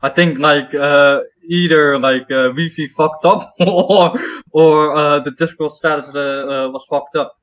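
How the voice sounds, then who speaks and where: A man in a casual online chat, speaking haltingly and often stammering over repeated words, on dull call audio. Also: on the high side, steady tone, clean tone. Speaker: video co-host.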